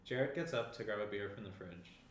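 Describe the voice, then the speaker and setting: A man's voice: fairly low pitch, a little fast, a bit bright. A young man narrating screenplay stage directions at a table read. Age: young adult. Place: small room at a table. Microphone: shared tabletop microphone. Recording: roomy indoor sound, clear background noise.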